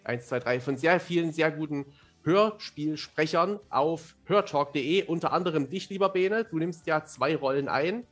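A man's voice: a little high, normal speed, a bit bright, soft background music. A man, talking at length in a casual interview and explaining things as he goes. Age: 30s. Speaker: fantasy author, podcast guest.

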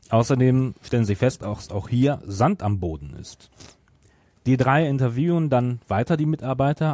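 A man's voice: on the low side, mellow, dry recording, clean studio sound. A man hosting a podcast, retelling a story from notes and at times searching for words. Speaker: podcast host.

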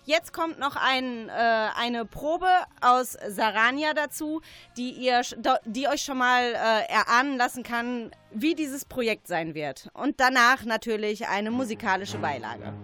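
A woman's voice, a little on the high side, over quiet music. She hosts a radio interview, talking conversationally to her guests and the listeners. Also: animated, unhurried, dry recording.

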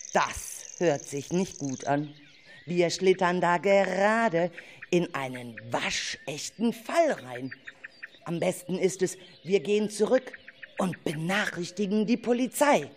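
A woman plays a caring, cautious forest guide for schoolchildren in a radio play. Her delivery is unhurried and very lively in pitch, with deliberate, expressive storytelling. There is faint noise with chirping nature sounds behind her. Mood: worried. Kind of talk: children's radio play acting.

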